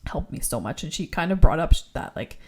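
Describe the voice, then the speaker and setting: A woman's voice: deep voice, big pitch swings, fairly quick. A young woman co-hosting a faith-based podcast, talking earnestly and rambling, often laughing and saying "like". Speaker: podcast co-host.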